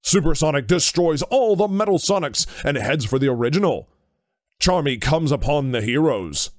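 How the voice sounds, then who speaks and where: A man's voice: on the high side, expressive, normal speed, no room sound, studio quality. A man narrating a scripted audio drama story. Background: music.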